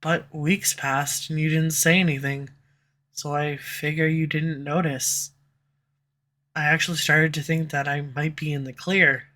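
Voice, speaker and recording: A woman voicing a sharp-tongued, tsundere female villain who swears and speaks sarcastically, recorded close on a studio condenser mic. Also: low voice, fairly even intonation, unhurried. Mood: confessional. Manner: sarcastic, swears, turns confessional. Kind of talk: audio roleplay. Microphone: studio USB condenser mic.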